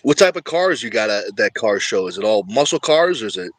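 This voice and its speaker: A man's voice: fairly low pitch, big pitch swings, brisk, no echo. An easygoing man hosting a casual livestream and chatting with viewers about his sport. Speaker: livestream host. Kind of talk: casual livestream chat about arm wrestling.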